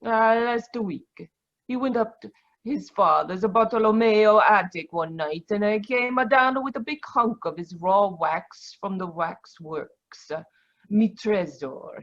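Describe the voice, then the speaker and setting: A woman playing a scornful witness in a 1940s-style radio drama, halting and theatrical, heard over dull video call audio. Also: medium pitch. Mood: hesitant recounting.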